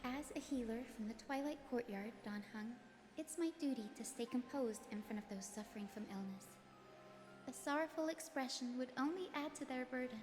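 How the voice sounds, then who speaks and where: A woman playing a gentle, selfless young healer in a game, speaking in an earnest, measured and formal way. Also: on the high side, long reverb tail, over music, natural voice. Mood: tender, bittersweet. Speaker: game character voice actor. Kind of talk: scripted game dialogue.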